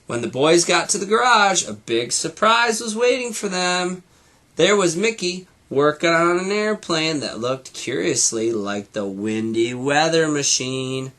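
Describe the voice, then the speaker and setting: Male teacher, storybook narrator: slightly high voice, expressive read-aloud with wide, lively intonation. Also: unhurried. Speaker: teacher reading to students.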